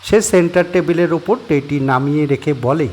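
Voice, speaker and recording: A man narrating a horror audio story in a measured storytelling manner. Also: fairly high pitch, darkish tone.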